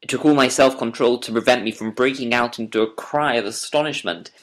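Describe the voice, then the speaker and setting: A man narrating a first-person audiobook story with measured, literary, deliberate phrasing. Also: medium pitch. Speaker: audiobook narrator reading as Doctor Watson.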